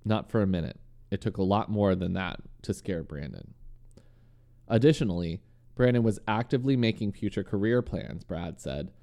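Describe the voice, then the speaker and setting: A man's voice: lowish voice, moderate pace, creaky, mellow. A man with a slight lisp co-hosting a podcast, reading a news story aloud between banter and swearing when flustered. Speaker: podcast co-host.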